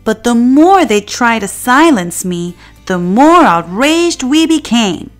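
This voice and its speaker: A woman narrating a children's picture book in an expressive, deliberate storytelling manner. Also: on the high side. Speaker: children's read-aloud narrator. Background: faint music.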